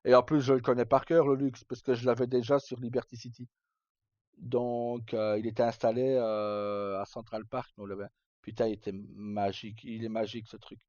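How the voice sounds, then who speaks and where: A man's voice: medium pitch, little pitch movement, moderate pace, a little mellow, dry recording, highs cut off. A man hosting a livestream, chatting informally and casually with some mild swearing. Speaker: livestream host. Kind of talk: casual chat.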